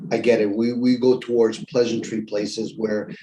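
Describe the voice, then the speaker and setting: A man's voice: fairly low pitch, little pitch movement, normal speed. Man, 50s, show host, casual rambling talker in a roomy space. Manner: rambling, reflective, jokey. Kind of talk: casual group chat. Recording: roomy with faint noise.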